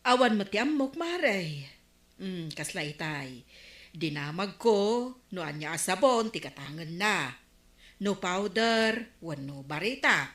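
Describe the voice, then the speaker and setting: Casting: touchy Ilocano councilwoman in radio comedy, bright voice with big swings in pitch, echoey room. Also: very animated, measured pace. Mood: aggrieved. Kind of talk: Filipino comedy radio drama acting. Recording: roomy, echoing studio sound.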